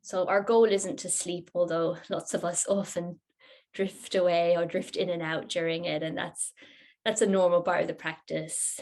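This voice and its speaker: A woman teaching a yoga nidra class, giving guided relaxation instructions in a gentle, unhurried, soothing manner. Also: lowish voice, little pitch movement.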